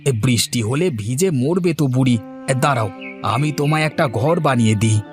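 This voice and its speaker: A man's voice: mid-range voice, normal speed, faint music behind, natural voice. A man voicing a simple, good-natured village man in a cartoon, naive, hesitant and eager to oblige. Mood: kindly, obliging. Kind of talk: cartoon voice acting.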